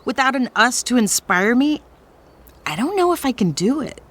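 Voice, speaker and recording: A woman's voice: a little high, very animated, moderate pace, a bit bright. A woman playing a florid, dramatic romance novelist wounded by divorce, in an audio comedy drama. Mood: downcast, self-pitying. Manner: theatrical, florid.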